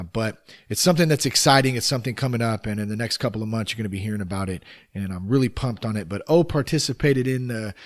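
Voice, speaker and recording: A man hosting a solo podcast, his naturally raspy voice worn and tired, talking in a casual, upbeat, rambling way with many fillers. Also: mid-range voice. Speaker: podcast host.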